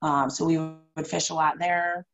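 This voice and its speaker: Woman, 30s, upstate New York, even matter-of-fact guest, low register. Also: unhurried. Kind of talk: casual interview chat. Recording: dull lo-fi sound.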